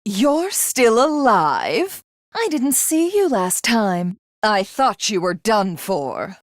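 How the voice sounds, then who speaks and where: An actress voicing an arrogant, cruel video game villainess who craves godhood, with haughty, grandiose and menacing formal diction. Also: female voice, medium pitch, very animated, takes it slowly, no room sound, clean studio sound. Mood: mocking menace.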